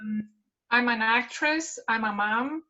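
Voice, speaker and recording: A woman with an Argentine Spanish accent introducing herself on a video call, pausing often to find her words. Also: a little high, bright, forceful tone, dull audio. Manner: hesitant, with frequent um pauses. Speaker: actress and workshop participant.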